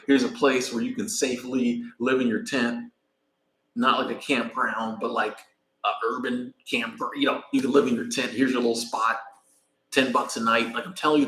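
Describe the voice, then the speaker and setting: An American man rambling casually on a live stream while traveling in Mexico, pausing to thank viewers by name. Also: medium pitch, fairly bright tone, roomy sound, rough recording. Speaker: vlogger.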